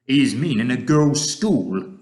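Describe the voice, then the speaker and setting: A man's voice: medium pitch, animated, a little slow, a little mellow, pitched above the performer's own voice, lightly acted. A man voicing a big friendly male giant who speaks in mangled grammar and odd invented words. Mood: gentle. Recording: roomy echo.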